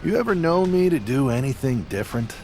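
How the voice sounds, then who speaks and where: An actor playing a cocky, stubborn young pro wrestler, confident and wry, in a video game cutscene. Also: male voice, mid-range voice, big pitch swings, brisk, dark tone, faint music behind. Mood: wry, defensive.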